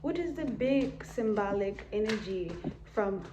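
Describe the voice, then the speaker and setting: A woman improvising a spiritual tarot reading for an audience, speaking in halting phrases and often tagging statements to check the listener is following. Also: mid-range voice, expressive, heavy background noise. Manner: halting phrasing, punctuated with repeated checking tags. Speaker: tarot reader and psychic channeler.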